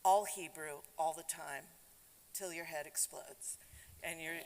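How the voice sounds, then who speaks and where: Brief: older woman, low-pitched and unhurried, chatty reflective talk to a congregation, long hall reverb. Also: steady tone, darkish tone. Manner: conversational and reflective, addressing the congregation. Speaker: congregant giving a talk at a service. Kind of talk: personal reflection at a Shabbat service. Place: synagogue sanctuary. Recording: long hall reverb with faint background noise.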